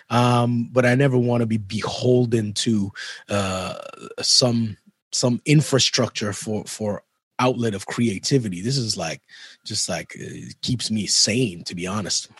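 Man from Trinidad, husky voice, dry close sound, laid-back rambling chat. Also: mid-range voice, a little mellow, no echo. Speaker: musician and chef guest. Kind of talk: casual interview chat.

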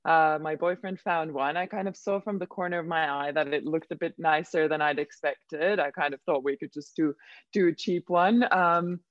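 A woman's voice: sits low, steady tone, normal speed, dry recording. A young woman from Finland, Swedish her first language, chats as an interview guest and strings stories together with easy "like"s and "um"s. Her voice comes through a muffled, lo-fi call line. The sound is dry and close, with no room around it. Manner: chatty, storytelling, frequent "like" and "um". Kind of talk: casual interview chat.

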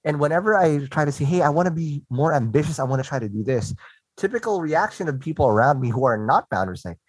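A man from the Philippines who speaks with an American-sounding accent he learned at a call center, hosting a casual interview with emphatic, animated delivery. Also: a little high, fast, husky, no echo, rough recording. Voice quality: animated, emphatic, laughs often. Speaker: podcast host.

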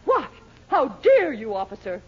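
High, bossy, haughty wife. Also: female voice, wildly swooping pitch, a little slow, slightly rough, heavily acted. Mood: bossy, indignant. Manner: imperious, sharp-tongued, theatrical. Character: bossy, haughty, ambitious middle-class wife. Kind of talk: 1940s radio sitcom acting. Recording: muffled, hissy 1940s radio recording.

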